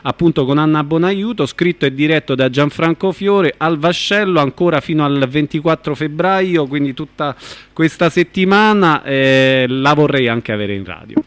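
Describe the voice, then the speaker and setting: A man's voice: fairly high pitch, lively intonation, moderate pace, a bit bright, no room sound. A man hosting a casual radio chat with a co-presenter about what is coming up. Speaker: radio host.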